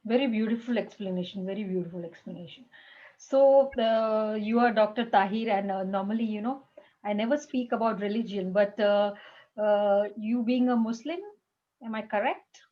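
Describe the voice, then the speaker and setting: A woman hosting a chat show, talking in a halting way with many fillers as she introduces her guest. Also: medium pitch, muffled recording. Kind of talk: casual interview chat.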